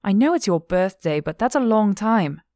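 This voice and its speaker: A woman's voice: on the low side, moderate pace, no echo, clean studio sound, natural voice. A woman voicing a blunt, stern young female law officer in an audiobook. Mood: exasperated.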